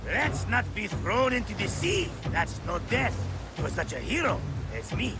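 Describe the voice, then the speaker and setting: High-pitched, boisterous mercenary ship captain. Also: male voice, big pitch swings, a little slow, over loud music. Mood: teasing. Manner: theatrical, booming delivery. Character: boisterous Greek mercenary ship captain, hearty bearded veteran. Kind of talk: video game voice acting.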